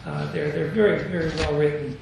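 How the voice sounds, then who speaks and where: Gravelly man leading a Bible study. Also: fairly high pitch, steady tone, fairly quick, background noise. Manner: asks the group questions, chuckles often. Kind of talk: group Bible study discussion. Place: meeting room at a convention. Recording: echoey room, far from the mic.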